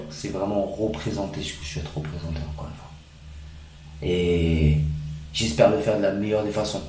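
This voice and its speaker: A man's voice: lowish voice, darkish tone. A man from France chatting informally and earnestly as a vlogger, recorded in a reverberant room away from the mic. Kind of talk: casual vlog chat. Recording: very echoey room, distant mic, faint noise.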